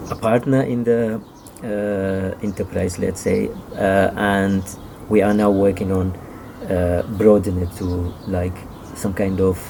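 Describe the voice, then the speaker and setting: A middle-aged man with a Kurdish accent, a native Kurdish speaker, giving halting, conversational interview answers as he searches for words. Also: on the low side, gravelly, noisy background. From Iraqi Kurdistan. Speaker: author and publisher, interview guest.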